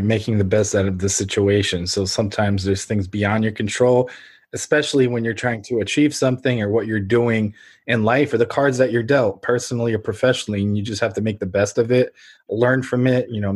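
A man in his thirties talks on a casual podcast, laying out his points in long, even, well-formed sentences. His voice sits on the low side and stays steady. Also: breathy edge. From Ukraine, raised in the United States from age five. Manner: articulate, steady, explanatory. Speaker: podcast guest, marketing agency owner.

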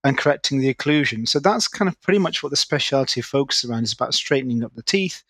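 A man, a guest orthodontist explaining his field in a podcast interview, articulate and quick, with restarts. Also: medium pitch, animated, fairly bright tone, slightly rough, dry recording. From Manchester, England. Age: 30s.

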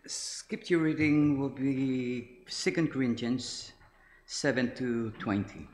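Slow man reading scripture. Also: mid-range voice, a little mellow. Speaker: scripture reader.

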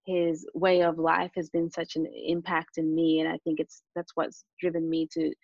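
A woman from Japan and Australia, a native Japanese speaker, talking earnestly and reflectively as an interview guest, in a calm, hesitant way. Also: low-pitched, moderate pace, vocal fry, slightly rough, dry recording, muffled recording.

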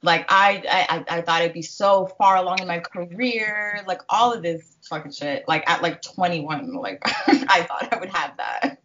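Brief: thirties woman, frank co-host, lowish voice, muffled lo-fi audio. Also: unhurried, bright, forceful tone, a little hiss or hum. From Jamaica. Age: 30s. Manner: frank, filler-heavy, swearing. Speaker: podcast co-host. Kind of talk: casual chat.

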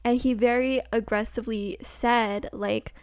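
A woman's voice: fairly high pitch, fairly even intonation, measured pace, a little mellow, dry recording. Young American woman, casual co-host, rambling filler-heavy delivery, dry close mic. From United States. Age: young adult. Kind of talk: casual chat.

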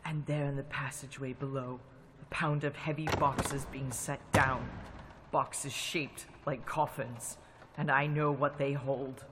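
A man's voice: medium pitch, flat delivery, unhurried, background noise, close to an everyday voice. An actor playing a frightened young Englishman narrating his journal in a radio drama reenactment, earnest and tense. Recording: long hall reverb with music.

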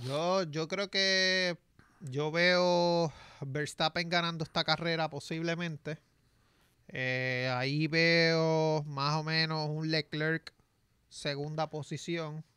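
A man co-hosting a casual talk, relaxed and hesitant. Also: fairly high pitch, slow, clear, resonant tone, no echo. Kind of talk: casual chat.

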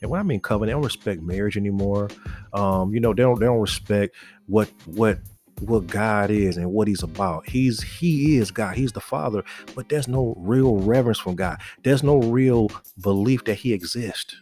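A man's voice: on the low side, normal speed, darkish tone, faint music behind. A man in his forties preaching casually to other men, earnest and exhorting, often restarting and repeating words. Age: 40s. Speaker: faith podcast host.

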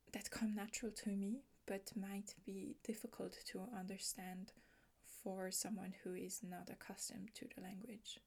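A woman from Switzerland with a Swiss German accent, a native Swiss German speaker, hosting a podcast in a casual solo talk, soft and often half-whispered, thoughtful with small restarts. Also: mid-range voice. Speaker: podcast host.

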